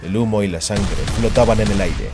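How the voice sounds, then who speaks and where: Hombre narrador de relatos dramáticos. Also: male voice, lowish voice, brisk, over music. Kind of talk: scripted dramatic story narration.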